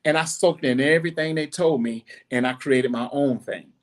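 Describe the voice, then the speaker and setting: A middle-aged man hosting a podcast, giving a blunt, preachy monologue with animated asides. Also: medium pitch, normal speed. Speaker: podcast host. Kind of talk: casual monologue.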